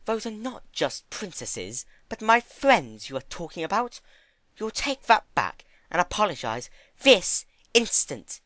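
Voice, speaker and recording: A man voicing a gentle, motherly female pony with an earnest manner, in a dramatic storybook reading. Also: high voice, sing-song, unhurried, no room sound, pitched above the performer's own voice, exaggerated voice. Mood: stern, cross. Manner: soft-spoken, hesitant, earnest. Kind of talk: dramatic fan fiction reading.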